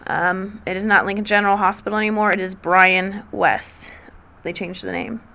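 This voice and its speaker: A woman's voice: medium pitch, moderate pace, sharp tone. A woman in her thirties with a Midwestern American accent talks in a rambling monologue. She hesitates constantly, filling gaps with ums and restarting her sentences. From Midwestern United States. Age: 30s. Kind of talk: rambling recorded voice message.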